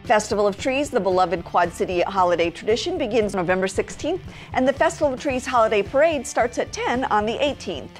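A middle-aged American woman narrates scripted event listings for television. Her voice is on the high side, with light music playing underneath. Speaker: TV segment presenter. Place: TV studio.

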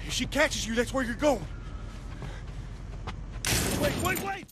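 A desperate fugitive convict, his voice pushed very high and strained. He sounds urgent and panicky in a game cutscene, with clear noise behind him. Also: very animated, takes it slowly, exaggerated voice. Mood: wary, urgent. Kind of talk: video game cutscene acting.

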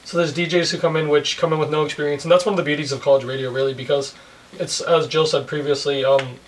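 Male guest, 20s, quick pace, highish pitch, casual, slightly off-mic. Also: fairly even intonation. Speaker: college radio technical director, guest. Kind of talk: casual interview chat. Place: furnished indoor music room studio. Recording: recorded at a distance from mic.

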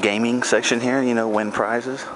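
A man's voice: flat delivery, normal speed. A man casually narrating as he explores an abandoned amusement park, guessing aloud what things used to be. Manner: musing, speculative, low-key. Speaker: urban explorer filming. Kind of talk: casual walk-through commentary. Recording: loud outdoor noise on camera mic.

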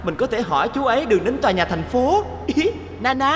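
A woman's voice: fairly high pitch, big pitch swings, fairly quick, slight background noise. A woman voicing a cheerful little blue boy bus in a cartoon dub, chatty, eager to impress and given to nervous laughs. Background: music.

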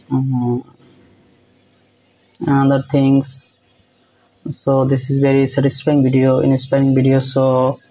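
Young guy reacting on phone cam, halting broken english, super monotone and dark. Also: mid-range voice, low-quality recording. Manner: repetitive, halting non-native English with fillers. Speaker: reaction video host. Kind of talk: casual reaction commentary. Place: indoors, small room.

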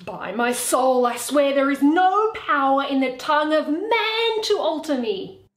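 Casting: bitter old male moneylender, gloating and stubborn, declamatory stage verse, performed by a woman, high-pitched, roomy sound. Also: expressive, a little slow. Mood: vehement, resolute. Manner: emphatic, declamatory. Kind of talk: dramatic Shakespeare reading. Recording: echoey bare room.

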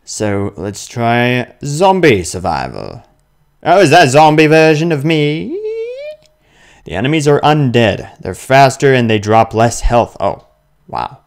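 A young man playing a video game and commenting on it, muttering slowly and then yelping loudly in fights, with faint game music behind. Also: medium pitch, very animated, clean tone. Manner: slow, mumbling asides that jump to loud yelps in fights. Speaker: gaming video host. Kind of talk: let's play commentary. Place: indoor room with webcam.

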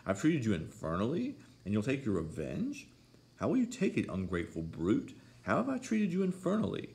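A man voicing an imperious, hot-tempered young gentlewoman in a story, commanding and scolding. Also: deep voice, moderate pace, creaky. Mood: indignant.

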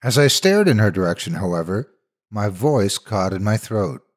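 A man narrating a scripted horror story in a dramatic, measured, suspenseful manner. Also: fairly low pitch, lively intonation.

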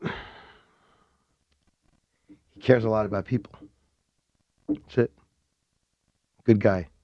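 A man's voice: lowish voice, steady tone, a little slow, warm tone, dry recording, highs cut off. A man speaking as a podcast guest in a studio, rambling with fillers and restarts. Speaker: interview guest, film director. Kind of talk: casual interview. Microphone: studio mic on boom arm.

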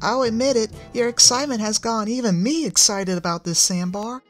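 This is a friendly young changeling girl who talks with a smile, as a man puts her on. Her voice sits high above the reader's own. Soft music plays under this storybook reading. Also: brisk, natural voice. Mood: cheerful. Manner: warm, smiling.

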